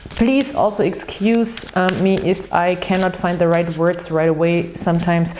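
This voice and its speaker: A woman's voice: lowish voice, measured pace, a little mellow, slight background noise. Chatty Austrian woman reading tarot. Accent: Austrian German. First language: German. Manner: chatty, rambling, with many ums and restarts. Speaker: tarot reader. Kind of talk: casual monthly astrology reading.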